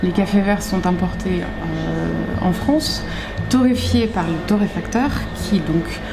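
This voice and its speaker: A woman's voice: lowish voice, creaky, noisy background. Woman explaining at a café table. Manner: measured, explanatory, frequent hesitations. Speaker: trade association delegate. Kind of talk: interview answers. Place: coffee shop, indoors.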